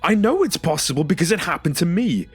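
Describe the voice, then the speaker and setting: Actor playing a husky, indignant, exasperated man. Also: on the high side, big pitch swings, brisk, faint music behind, heavily acted. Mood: sarcastic, exasperated. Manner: indignant, pleading, emphatic. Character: distressed, sarcastic, exasperated man, traumatised survivor of a pod. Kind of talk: audio drama acting.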